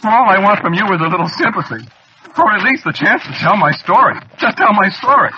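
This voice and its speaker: An actor playing a haunted, paranoid man losing his mind in 1940s radio style, halting and agitated as he confides his story. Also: fairly low pitch, big pitch swings. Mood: frantic pleading. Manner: halting, agitated, repeating himself. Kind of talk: 1940s radio acting, confiding first-person narration. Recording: muffled, noisy vintage radio recording.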